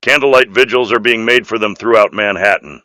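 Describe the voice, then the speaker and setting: A man's voice: on the low side, a little fast, pushed and bright, no echo. A man voicing an earnest, dutiful police officer in video game dialogue, firm and official in manner. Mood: grave.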